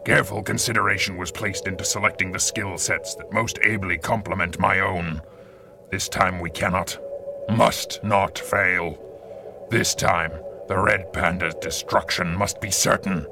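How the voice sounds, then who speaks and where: Deep, gravelly supervillain mastermind. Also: male voice, steady tone, over music. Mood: solemn, commanding. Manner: solemn, theatrical, deliberate. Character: grave, commanding male supervillain mastermind leading a secret cabal. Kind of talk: 1930s radio serial acting.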